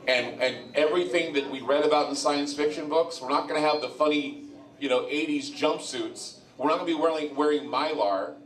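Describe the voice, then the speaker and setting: Rambling male radio host in a roomy venue. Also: medium pitch. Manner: rambling, conversational, repeats words. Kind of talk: live event talk to an audience. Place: live venue with audience. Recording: roomy live venue, mic heard from a distance.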